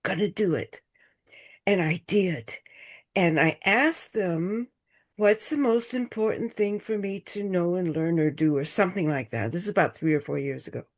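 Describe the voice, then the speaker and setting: An older woman from the United States, a guest on a video call, speaking slowly and searching for her words. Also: fairly low pitch. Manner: slow, thoughtful, searching for words, drops to a whisper. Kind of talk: casual chat on a group video call.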